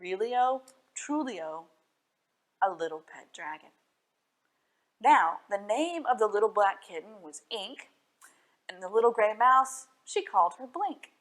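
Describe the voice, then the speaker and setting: A woman reading children's stories and poems at a library storytime, expressive and putting questions to her young listeners. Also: mid-range voice, unhurried, long pauses.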